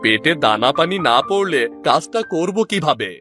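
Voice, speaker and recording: Man acting timid honest village labourer, highish pitch, animated intonation, hesitant, pleading, cartoon, music behind. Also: moderate pace, natural voice. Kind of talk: Bengali fairy-tale cartoon dubbing.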